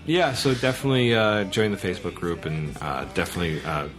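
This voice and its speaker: A man co-hosting a podcast in relaxed casual chat, with plenty of uhs and pauses. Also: deep voice. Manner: relaxed, hesitant with many uhs. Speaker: podcast co-host.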